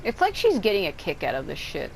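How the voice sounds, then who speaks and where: A woman's voice: fairly low pitch, wildly swooping pitch, fast talker, creaky, low-quality recording. A woman giving casual, blunt let's-play commentary as a game streamer, reacting as she goes. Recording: game music behind.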